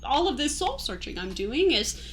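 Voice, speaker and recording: A woman's voice: sits high, big pitch swings, moderate pace. A woman playing a timid, aimless thirty-something drifter, stammering and apologetic, in an improvised comedy radio play. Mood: earnest, searching. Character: timid, aimless thirty-five-year-old wanderer named Fred. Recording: light background noise.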